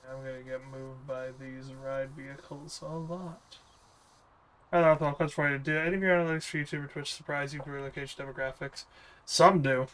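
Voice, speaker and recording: A man's voice: mid-range voice, level tone, a little fast, a bit bright, a little hiss or hum, rough recording. A man streaming gameplay, chatting casually and answering viewer questions in a laid-back, rambling way. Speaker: gaming streamer.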